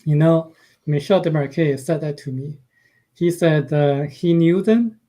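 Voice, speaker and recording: Brief: Chinese-accented man, radio guest, dark mellow tone, slightly high, halting with fillers. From China. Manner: hesitant, many uh fillers, soft-spoken. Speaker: guest author and translator. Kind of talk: radio interview.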